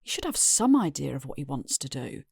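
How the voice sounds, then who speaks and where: A woman's voice: extremely low pitch, very animated, brisk, vocal fry, a little mellow, breathy edge, no echo, studio quality, exaggerated voice. An actress with a British accent playing a weary, resigned middle-aged wife and mother, confessing plainly in an audio drama. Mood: weary, disappointed. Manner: plain-spoken, world-weary.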